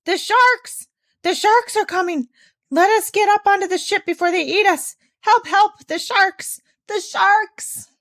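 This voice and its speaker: A woman voicing a gang of rough male pirates who are rowdy and panicky in a storybook reading. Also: on the high side, lively intonation, brisk, few pauses, no echo, natural voice. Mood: terrified.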